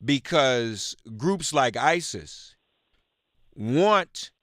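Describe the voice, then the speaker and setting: A man hosting a live political call-in radio show, halting and emphatic, repeating words for stress as he reads news and talks with callers. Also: medium pitch, animated, a bit bright, dry recording, clean studio sound.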